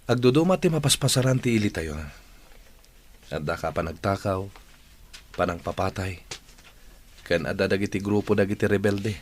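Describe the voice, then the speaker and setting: A man's voice: on the low side, moderate pace, pauses often, natural voice. A man acting in a radio drama as a grave village man who broods over his troubled town, speaking in a serious, reflective way. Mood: grave, brooding.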